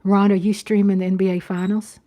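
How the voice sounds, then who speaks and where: Female livestreamer, 50s, medium pitch, informal and conversational, repeats phrases and checks in with listeners. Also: level tone, fairly quick, dark tone. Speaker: livestream host. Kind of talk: casual livestream chat, replying to viewers.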